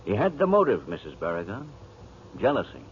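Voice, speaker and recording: Pushy police inspector, swingy delivery, old muffled radio drama. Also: male voice, fairly low pitch, huge pitch swings, fairly quick. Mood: businesslike. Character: probing, relentless male police inspector. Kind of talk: 1940s radio acting. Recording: muffled, noisy 1940s radio broadcast.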